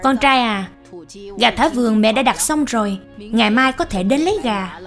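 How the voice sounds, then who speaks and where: A woman performs an elderly village mother for a moral cartoon. Her voice sits fairly high. She is caring but stubborn and waves away every objection, with light music behind her. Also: lively intonation, normal speed. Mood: matter-of-fact. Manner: firm, brushing off objections. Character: doting, insistent elderly rural mother of a businessman. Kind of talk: dubbed moral cartoon dialogue.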